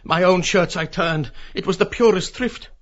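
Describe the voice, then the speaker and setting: A woman's voice: lowish voice, normal speed, muffled recording, close to an everyday voice. A woman playing a submissive, cowed middle-aged man in an audiobook drama, timid and pleading. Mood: sheepish.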